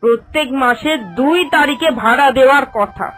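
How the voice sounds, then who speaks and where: A woman's voice: high voice, normal speed, a bit bright, soft background music. A woman voicing a sarcastic, nagging older landlady in a cartoon. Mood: sarcastic, reproachful. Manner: sarcastic, needling. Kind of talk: cartoon voice acting.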